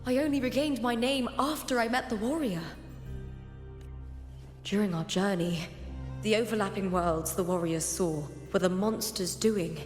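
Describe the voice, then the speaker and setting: Casting: actress for a video game warrior heroine, earnest and resolute, mid-range, measured formal lines, heavy reverb over music. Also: female voice, medium pitch, close to an everyday voice. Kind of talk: scripted video game voice acting.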